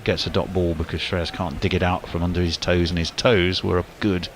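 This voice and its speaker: Low guy doing cricket commentary, brisk, bit of hiss behind. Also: level tone. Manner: brisk play-by-play with sudden lifts on big shots. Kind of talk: live sports commentary.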